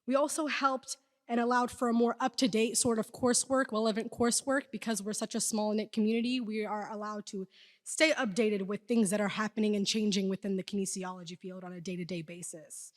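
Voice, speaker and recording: A woman's voice: medium pitch, steady tone, brisk. Young woman, student speaker, earnest and emphatic delivery, prepared speech, big reverberant hall. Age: young adult. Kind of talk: prepared speech at a university event. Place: large indoor hall, speaking to an audience. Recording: echoey hall with long reverb.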